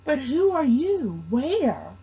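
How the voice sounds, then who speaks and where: A woman voicing a gentle, protective male elephant in a children's storybook, speaking earnestly and emphatically. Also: high-pitched, sing-song, slow, voice pushed up, exaggerated voice, low-quality recording. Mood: curious, kindly. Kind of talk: children's storybook read aloud.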